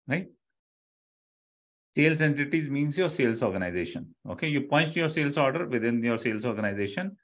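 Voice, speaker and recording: A middle-aged man with an Indian accent, an online instructor explaining step by step, repeating key phrases and checking in with "okay" and "right". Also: mid-range voice. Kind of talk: live online lecture.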